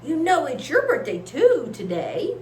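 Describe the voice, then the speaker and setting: A woman voicing an excitable, affectionate little birthday girl in a storybook, gushing her announcements. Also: high voice, unhurried, pitched above the performer's own voice, full character voice. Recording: echoey room with faint hiss.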